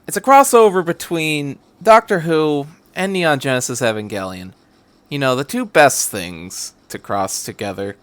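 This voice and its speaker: Lively, dry-sounding male story reader. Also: mid-range voice, clear, resonant tone, no echo. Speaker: podcast host and fan fiction reader. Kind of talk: scripted narration, story reading.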